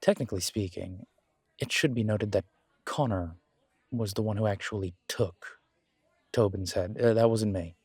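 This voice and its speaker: A man playing a wry, self-deprecating alpha werewolf in an audio drama, with a sardonic, measured delivery. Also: on the low side, darkish tone, breathy edge, no room sound. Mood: wry, amused. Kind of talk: scripted audio roleplay drama.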